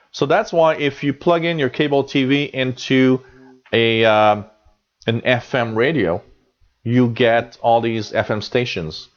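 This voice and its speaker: A chatty Canadian man in his forties, his voice sitting in a middle range. He rambles along with plenty of ums and restarts as he hosts a live show. The audio is a bit dull and lo-fi. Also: medium pitch. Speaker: radio show host reading chat. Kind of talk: casual live chat.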